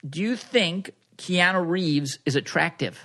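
A man co-hosting a podcast, playfully quizzing a guest in a joking, halting way. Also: high-pitched, pushed and bright. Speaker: podcast co-host. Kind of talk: casual chat, playful quizzing.

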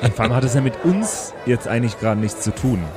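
A man's voice: mid-range voice, animated, fast talker, music in the background, a crowd in the background. A man chatting and improvising as a player in a tabletop role-playing session.

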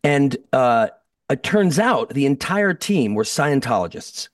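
A man's voice: mid-range voice, very animated, a little slow, dry recording, studio quality, far from the mic. A man in his fifties, a guest actor, telling easygoing, self-deprecating anecdotes in a casual interview, often restarting his sentences. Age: 50s.

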